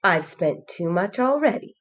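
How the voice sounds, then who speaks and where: A woman voicing a tired mother in a storybook, gently firm and sighing. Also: lowish voice, big pitch swings, unhurried. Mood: weary. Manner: sighing, weary. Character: tired mother gently refusing her child. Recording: slightly dull lo-fi sound.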